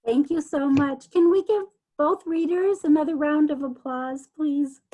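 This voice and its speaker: A woman's voice: high voice, unhurried, mellow. A woman hosting an online poetry reading, speaking informally as she thanks the readers. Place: live online video call.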